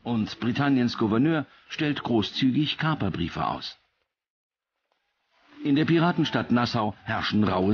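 A man's voice: mid-range voice, dull audio, a little hiss or hum. A man reading scripted documentary narration as the narrator.

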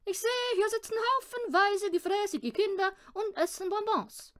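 A woman voicing a pompous, self-satisfied little male magician in a children's storybook, with grandiose, drawn-out announcements. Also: extremely high pitch, a bit bright, pitched above the performer's own voice. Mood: showy, boastful.

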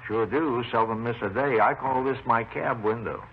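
An actor playing a garrulous, folksy, meticulous retired railroad engineer who carves wood, in a 1950s radio drama. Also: male voice, mid-range voice, moderate pace, gravelly, slightly rough. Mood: chatty, genial. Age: older. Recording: noisy old radio recording, narrow phone-like band.

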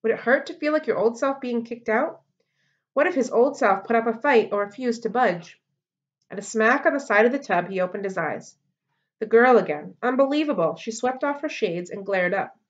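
A woman in her thirties reading a children's novel aloud at home into a webcam, in steady storybook narration. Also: fairly high pitch, normal speed, lo-fi sound. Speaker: library read-aloud host. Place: living room at home. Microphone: webcam on a desk.

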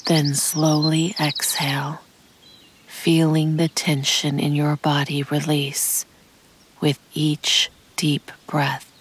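A woman narrating a guided meditation in a calm, soothing, measured way, with a soft, breathy voice that often sinks to a near-whisper. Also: deep voice. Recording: birdsong and forest ambience behind. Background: birdsong, insects, nature ambience.

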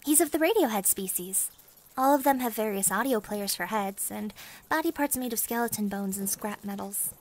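A woman's voice: mid-range voice, moderate pace, darkish tone, natural voice. A woman playing a composed angel guide in an audio drama, explaining things in a matter-of-fact way. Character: composed female angel guide, mildly teasing.

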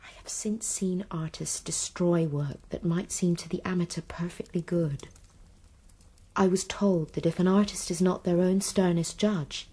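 An actress playing a guarded, quietly resolute young Victorian woman in a radio drama, with an English accent and a hushed, measured delivery. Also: lowish voice, steady tone, slightly rough. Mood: guarded, grave. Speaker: actor. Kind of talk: broadcast radio drama acting.

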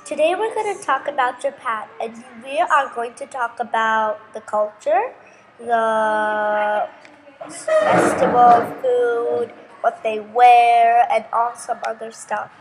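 A woman's voice: a little high, very animated, slow, background noise. A girl reading a school presentation over a video call, eagerly punching out each listed word. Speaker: student presenter.

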